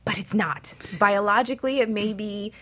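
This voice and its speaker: A woman's voice: medium pitch, normal speed, bright, forceful tone. A woman hosting a frank panel talk, explaining things in everyday analogies. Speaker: talk show host.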